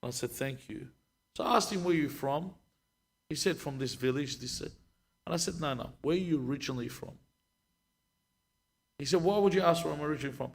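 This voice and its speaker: A man's voice: on the high side, fairly quick, vocal fry, pauses often. A man in his thirties, a sheikh, answering questions and telling stories in a live discussion. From Lebanon. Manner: conversational, storytelling, many restarts. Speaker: sheikh, guest speaker.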